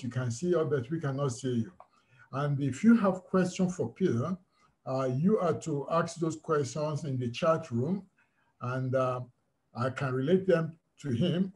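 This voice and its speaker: Moderator guy on a video call, hesitant and filler-heavy, mid-pitched voice. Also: darkish tone. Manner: halting, with many fillers. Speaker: conference moderator.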